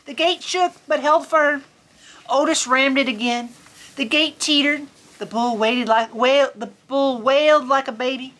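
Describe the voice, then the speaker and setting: A woman reading a picture book aloud to children in an expressive, folksy, chatty storytime manner. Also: high voice, unhurried. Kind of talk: animated children's story reading with casual asides. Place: on a farm among sheep.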